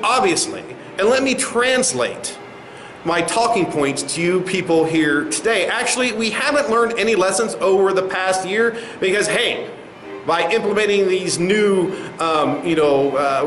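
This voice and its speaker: Man acting as condescending company spokesman, satirical sketch, high male voice, very animated intonation, smug asides. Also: slight background noise. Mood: defensive, smug. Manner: smug, patronizing, halting asides. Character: smug, condescending male corporate spokesman addressing angry protesters. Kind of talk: satirical sketch, mock press conference. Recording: roomy echo, faint music behind.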